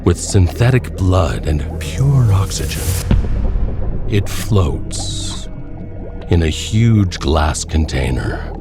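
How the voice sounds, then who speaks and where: Male horror narrator: very low register, unhurried, suspenseful dramatic delivery. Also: expressive, over loud music. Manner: deliberate, suspenseful, dramatic pauses. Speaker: narrator of an audio horror story.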